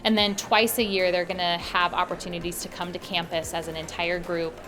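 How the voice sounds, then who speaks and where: A woman's voice: fairly low pitch, level tone, fairly quick. An American woman speaking as an education program coordinator in a promotional interview. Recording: music bed under speech. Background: background music.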